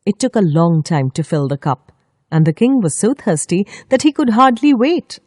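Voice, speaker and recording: A woman storyteller reading a scripted children's story with expressive, deliberate phrasing. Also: mid-range voice, dry recording, clean studio sound.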